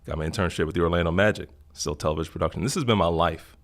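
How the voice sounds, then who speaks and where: Low gravelly middle-aged guy from florida, earnest, reflective interview talk. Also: racing. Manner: earnest, reflective, emphatic repetition. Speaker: podcast guest.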